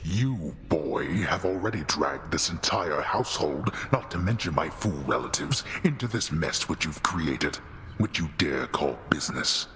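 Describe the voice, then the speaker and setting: Stern god of the dead for a fantasy game: extremely low, imperious, contemptuous, in long reverb with some noise. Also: male voice, lively intonation, unhurried, fairly bright tone, full character voice. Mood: scornful anger. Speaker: video game voice actor. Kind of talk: scripted video game dialogue.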